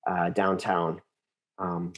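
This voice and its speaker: An American man speaking as an interview guest, telling personal stories casually and earnestly, often halting and repeating words as he thinks. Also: low voice, monotone. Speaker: interview guest, composer and conductor.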